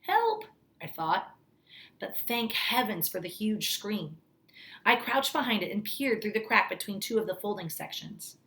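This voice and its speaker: Female storybook reader, mid-pitched, expressive teacherly delivery for young listeners. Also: moderate pace. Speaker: teacher reading a storybook aloud. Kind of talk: children's story read-aloud with brief asides.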